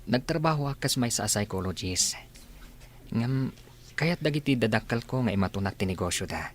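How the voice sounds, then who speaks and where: A man playing a curious, polite businessman in a radio drama, soft-spoken and inquisitive. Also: medium pitch, lively intonation, normal speed, natural voice. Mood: curious, concerned.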